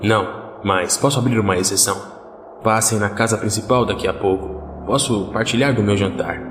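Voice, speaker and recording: A man's voice: fairly low pitch, steady tone, normal speed, echoey room, in a large hall, background noise, lightly acted. A male actor playing a strange, curt man of few words who hosts travelers in a horror audiodrama, speaking in a guarded way. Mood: reluctant, terse.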